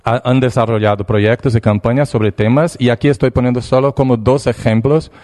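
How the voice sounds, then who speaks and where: A man from Brazil with a Brazilian Portuguese accent, whose first language is Portuguese, giving a slide presentation in a hesitant, halting way with frequent restarts. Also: medium pitch, steady tone, a little mellow. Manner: hesitant, pausing, restarts words. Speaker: conference presenter.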